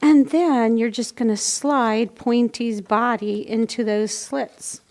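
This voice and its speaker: A woman with a high voice talks to young children as a storytime presenter. She takes her time, giving simple, step-by-step craft instructions in a casual teaching style. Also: steady tone, slow, darkish tone.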